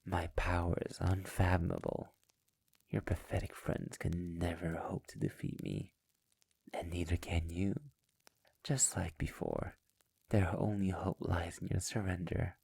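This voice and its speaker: A man playing a sadistic, possessive immortal vampire master in an intimate ASMR roleplay, taunting theatrically in a breathy, gravelly voice that often nears a whisper. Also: deep voice, a little slow, a little mellow, dry recording, full character voice. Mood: gloating, seductive.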